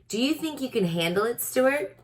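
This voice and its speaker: A woman voicing a kindly, excitable male dentist who tinkers, in a storybook reading. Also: a little high, moderate pace, voice pushed up, lightly acted. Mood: kindly, proud.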